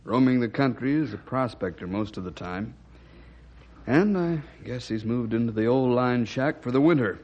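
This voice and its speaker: A man portrays an amiable district ranger in a vintage radio play. His medium-pitched voice is plain-spoken and matter-of-fact. The recording is dull and band-limited. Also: animated, normal speed, a little mellow, close to an everyday voice. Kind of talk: old-time radio drama acting.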